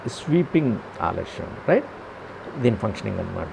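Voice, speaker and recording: A man with a Telugu accent giving a step-by-step software tutorial, posing questions and answering them himself with frequent check-in tags. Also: on the low side, sing-song, moderate pace, vocal fry, noisy background. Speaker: audio editing tutor.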